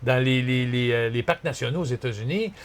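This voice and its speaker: A middle-aged man with a Quebec French accent, chatting casually as a podcast host in an excitable, colloquial, exclamatory and scattered way. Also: mid-range voice, brisk. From Quebec, Canada. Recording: clearly noisy background ambience. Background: ambient noise.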